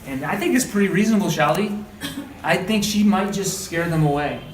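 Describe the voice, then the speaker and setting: A man reading a story aloud as a teacher, with dramatic, deliberate phrasing and questioning asides. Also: high voice. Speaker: read-aloud teacher and trainer. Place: indoor room, training session. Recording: echoey room, distant mic, background noise.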